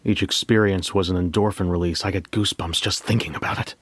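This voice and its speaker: A man narrating a scripted first-person story as a bank manager, in a measured, reflective way with deliberate pauses. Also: low voice, fairly even intonation. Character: bank manager recounting a memory heist.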